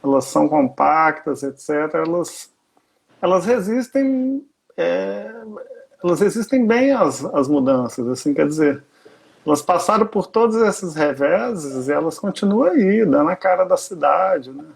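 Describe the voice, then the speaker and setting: A man's voice: a little high, animated, measured pace, creaky, slightly rough. A Brazilian man with a Minas Gerais accent, talking casually on a live stream as an architecture researcher, rambling with frequent filler tags and restarts. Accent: Minas Gerais Portuguese.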